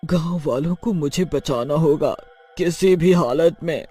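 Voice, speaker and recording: A man's voice: on the high side, unhurried, dark tone, slightly rough, faint music behind. A man voicing an earnest, well-meaning female witch in a cartoon, pleading emphatically in dramatic dialogue. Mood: remorseful.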